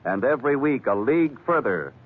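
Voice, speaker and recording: A man's voice: mid-range voice, lively intonation, brisk, noisy background. A man announcing a drama programme in a scripted, dramatic 1940s radio style. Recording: tinny 1940s radio broadcast with music.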